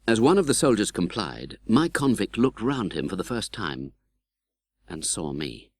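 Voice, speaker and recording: A man's voice: fairly low pitch, no room sound. A man with an English accent reading scripted audiobook narration in a dramatic style.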